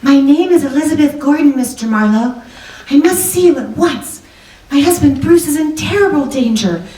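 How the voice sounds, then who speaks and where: Roomy, off-mic recording: actress as worried genteel young wife, high voice, polite and hesitant, old radio drama. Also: female voice, expressive, normal speed, warm tone. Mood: worried, urgent. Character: anxious, genteel young married woman seeking a detective's help. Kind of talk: 1940s radio drama acting. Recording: roomy, distant microphone, music behind.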